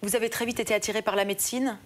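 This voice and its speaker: A woman hosting a TV interview, asking questions in a lively, friendly way. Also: fairly high pitch, racing. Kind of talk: TV talk show interview. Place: TV studio.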